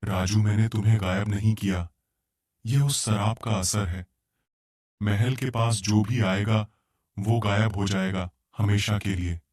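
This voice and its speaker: A man's voice: lowish voice, unhurried. A man doing a solemn talking tiger king. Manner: calm, solemn, explaining. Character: cursed king turned into a talking tiger, calm. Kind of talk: cartoon storybook voice acting.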